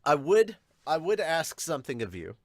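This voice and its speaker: A man's voice: a little high, very animated, moderate pace. A man hosting a casual reaction podcast, explaining things emphatically and swearing casually. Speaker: podcast host. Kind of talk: casual chat, manga reaction.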